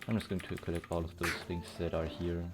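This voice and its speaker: A man with a very low voice chatting through his gameplay, rambling and amused, filling gaps with "like" and "um". Game music plays clearly underneath him. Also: level tone, fast talker. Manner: rambling, laid-back, amused, with filler words. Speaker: gamer. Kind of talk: casual gameplay commentary. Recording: voice over loud game music.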